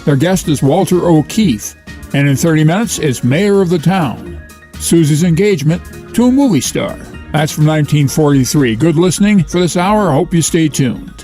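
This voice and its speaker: A man's voice: fairly high pitch, lively intonation, normal speed, mellow, soft background music. A man introducing a program of old radio shows as its host, in a prepared presenting style.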